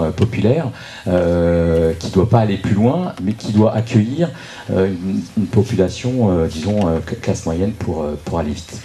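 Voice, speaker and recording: A man giving an unscripted explanatory presentation at a public meeting, speaking haltingly and often repeating words as he searches for phrasing. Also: fairly low pitch, mellow. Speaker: local political speaker introducing a debate.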